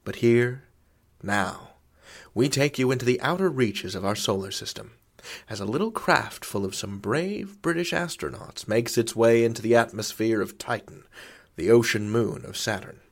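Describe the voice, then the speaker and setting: A man's voice: mid-range voice, normal speed, vocal fry. A man hosting an audio drama podcast, delivering a scripted introduction with theatrical, suspenseful phrasing. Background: music.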